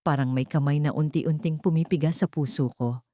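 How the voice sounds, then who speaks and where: A woman's voice: low voice, steady tone, fairly quick, warm tone, no echo, studio quality. A woman reading a scripted first-person suspense story as its narrator.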